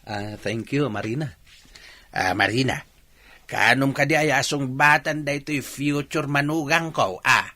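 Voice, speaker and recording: A man's voice: medium pitch, measured pace. An actor playing a big-hearted, teasing village man with an Ilocano Filipino accent, bantering in a comedy radio drama. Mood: jovial. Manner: animated, bantering.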